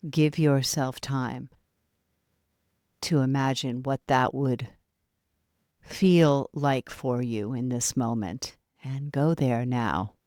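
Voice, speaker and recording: A woman leading a guided yoga nidra relaxation in a soft, soothing manner, leaving long pauses between short phrases. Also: extremely low pitch, no room sound, clean studio sound.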